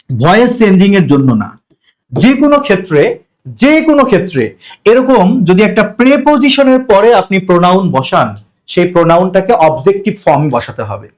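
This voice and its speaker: A man with a Bangladeshi Bengali accent teaching an English grammar lesson, explaining step by step and asking and answering his own questions. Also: sits high. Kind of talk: classroom-style grammar lesson.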